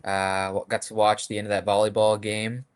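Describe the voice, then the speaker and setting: A man with a fairly low voice co-hosts a sports podcast. He talks in an easy, conversational way and slips into anecdotes. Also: little pitch movement, normal speed, clean tone. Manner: conversational, storytelling. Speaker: podcast co-host. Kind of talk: casual sports chat.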